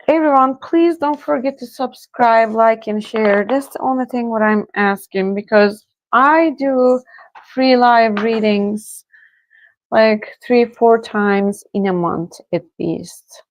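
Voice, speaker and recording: A woman's voice: a little high, poor audio. A woman from Turkey, chatting casually with viewers as she hosts a live tarot reading stream. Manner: slow, relaxed, chatty with viewers, soft laughs. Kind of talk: casual livestream chat with viewers.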